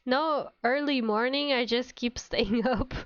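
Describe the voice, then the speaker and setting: A woman's voice: high voice, unhurried, smooth, no room sound, dull audio. A woman streamer chatting casually with her viewers while playing a game, sounding a little under the weather. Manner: chatty, addresses viewers by name. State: sick with a cold. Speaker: VTuber streamer.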